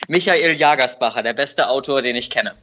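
A man's voice: mid-range voice, moderate pace, background noise. A young man around nineteen co-hosting a podcast in casual chat, confident and teasing. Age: young adult. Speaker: podcast co-host. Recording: slightly dull lo-fi sound.

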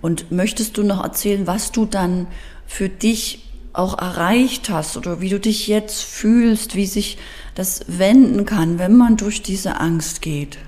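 Woman podcast host, soft admiring questions, really slow, mellow dark tone. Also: medium pitch. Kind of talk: interview questions.

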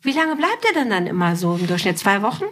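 Female podcast host, mid-pitched, conversational and chatty, moving easily between questions and anecdotes. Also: sing-song, fast, a bit bright. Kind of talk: casual conversational interview.

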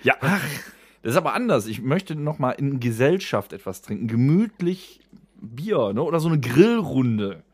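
A man's voice: mid-range voice, big pitch swings, moderate pace, husky, no room sound. A man hosting a podcast, talking casually with friends in blunt, coarse slang. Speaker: podcast host. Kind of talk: casual banter among friends.

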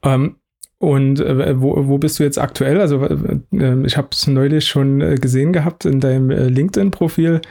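Gravelly-voiced podcast host. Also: male voice, medium pitch, fairly even intonation, moderate pace, dark tone. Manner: hesitant, many fillers and restarts. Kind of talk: casual interview chat.

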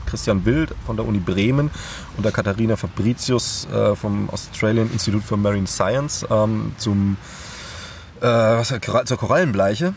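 A man's voice: fairly low pitch, normal speed, vocal fry, slight background noise. A man who hosts a podcast, talking casually and explaining things informally as he thinks aloud. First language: German. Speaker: podcast host. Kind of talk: casual conversational interview talk about podcasting.

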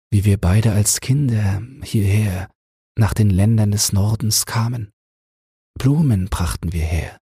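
Male reader as gentle fairy-tale wife of Summer, higher soft voice, slow, grave, wistful. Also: slightly rough, no echo, clean studio sound, full character voice. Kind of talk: fairy-tale audiobook character voice.

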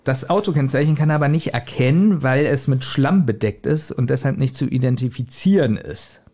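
A man hosting a casual podcast, retelling a story scene by scene in a halting, chuckling manner. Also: medium pitch, animated, mellow. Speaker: podcast host retelling an audio drama. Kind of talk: casual scene-by-scene recap among friends.

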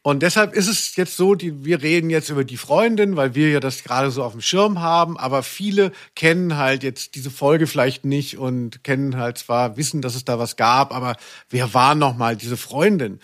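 Playful male podcast co-host, mid-pitched. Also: moderate pace, fairly bright tone. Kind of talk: casual chat about audio dramas.

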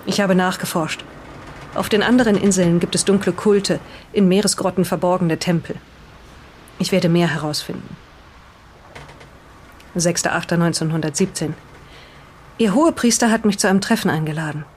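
Someone voicing an earnest, defensive island resident in an improvised radio play, speaking deliberately as if reading aloud. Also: medium pitch, fairly even intonation, a little hiss or hum, natural voice. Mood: solemn reading.

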